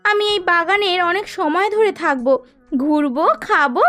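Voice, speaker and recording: A woman playing a sweet, devoted young farmer's daughter in an animated fairy tale. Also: very high voice, normal speed, exaggerated voice. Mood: cheerful, sweet.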